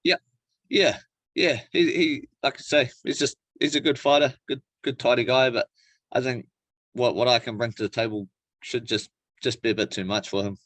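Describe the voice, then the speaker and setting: A man's voice: mid-range voice, moderate pace, fairly bright tone, dry recording. Male athlete interviewee, New Zealand accent. Mid-range voice, informal and slangy, dry sound with little room. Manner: laid-back slangy phrasing, frequent "like" and "bro". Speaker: professional MMA fighter being interviewed. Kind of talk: casual interview chat.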